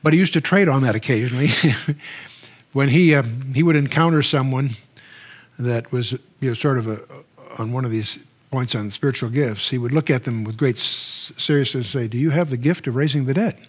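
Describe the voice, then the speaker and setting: A man's voice: medium pitch, moderate pace. An older man teaching a Bible lecture from a lectern, conversational and wry, with frequent restarts. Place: studio stage, standing at a lectern.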